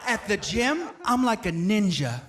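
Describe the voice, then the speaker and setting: A man's voice: high voice, big pitch swings, a little slow. A drag queen comedian teasing the audience in stand-up, on stage with a handheld mic. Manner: cheeky crowd teasing. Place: theater stage with live audience. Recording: loud crowd and noise.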